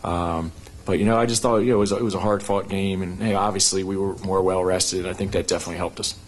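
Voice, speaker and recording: A middle-aged man coaching basketball, speaking quickly in a fairly low voice at an arena press conference. He answers questions through a table microphone, running his thoughts together with a lot of "you know" and restarts. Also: fairly even intonation. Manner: rapid, with frequent "you know" fillers and restarts. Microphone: table microphone on a stand.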